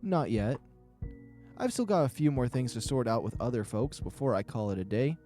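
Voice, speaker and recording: Man voicing a caring, mellow male animal friend. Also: mid-range voice, lively intonation, moderate pace, faint music behind, lightly acted. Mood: caring, steady. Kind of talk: visual novel character reading.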